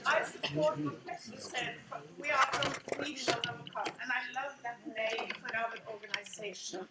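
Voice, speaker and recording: A woman speaks slowly and with conviction, her high voice carrying across a large room. She is heard from a distance, with plenty of room echo and background noise. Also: fairly even intonation. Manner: earnest, grieving, defiant. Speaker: conference participant. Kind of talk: impassioned comment from the floor. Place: conference hall. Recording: echoey hall from far off, noisy.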